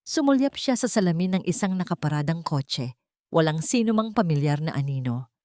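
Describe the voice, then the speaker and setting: A woman with a Filipino accent narrating a scripted story in a measured, dramatic way. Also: deep voice, animated, a little mellow, no echo, studio quality.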